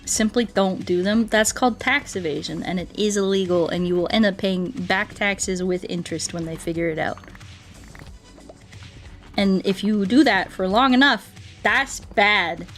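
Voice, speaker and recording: A woman's voice: mid-range voice, moderate pace, faint music behind. Playful woman chatting on a gaming livestream. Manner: playful, rambling, answers viewers aloud. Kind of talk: casual gaming livestream chat.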